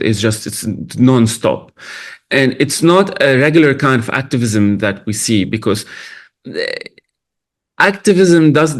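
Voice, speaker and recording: A man from Lebanon, a film director, answering questions at a festival Q&A in a thoughtful, halting way with many restarts. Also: medium pitch.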